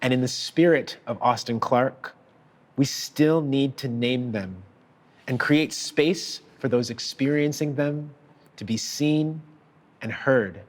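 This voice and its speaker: A man reading a prepared tribute in a measured, deliberate and formal way. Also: medium pitch. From child of parents from Sierra Leone. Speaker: author giving a tribute. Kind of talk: prepared speech read aloud.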